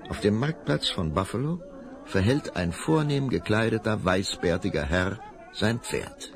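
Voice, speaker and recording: A man's voice: on the low side, animated, measured pace, crowd noise. A man narrating a children's radio play, telling the story vividly and dramatically.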